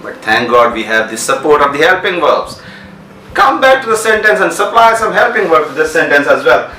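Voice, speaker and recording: Animated Pakistani English teacher, echoey room. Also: male voice, fairly high pitch, moderate pace, far from the mic, a little hiss or hum. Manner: repetitive, checks understanding with questions. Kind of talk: classroom grammar lecture.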